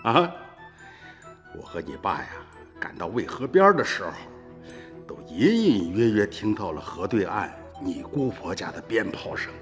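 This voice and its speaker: Old farmer grandfather narrator: lowish, expressive, reflective radio-drama recollection. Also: normal speed, faint music behind. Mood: animated recollection. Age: older. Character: old farmer grandfather recounting his past, reflective and candid. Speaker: narrator telling the story in recollection.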